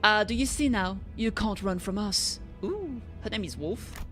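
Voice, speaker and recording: A woman's voice: mid-range voice, expressive, a little slow, a bit bright, faint music behind. A young woman streaming a game, reading the subtitles aloud with playful chatter. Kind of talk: casual gameplay commentary.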